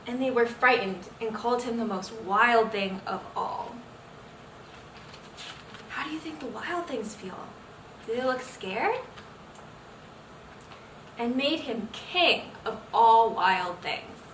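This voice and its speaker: A young woman reads a picture book to children in a fairly high, bright voice. She is expressive and keeps drawing listeners in with questions. The recording sounds echoey, with the mic some way off. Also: takes it slowly, pauses often. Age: young adult. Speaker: medical student reading a picture book to children. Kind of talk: children's read-aloud storytelling. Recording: roomy echo, distant mic, faint noise.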